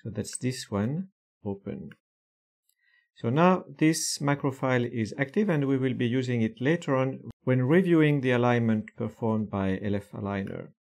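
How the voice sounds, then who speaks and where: A man calmly walking through software steps one by one in a screen-recorded tutorial, with frequent hesitations. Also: medium pitch. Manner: slow, methodical step-by-step explanation with frequent fillers.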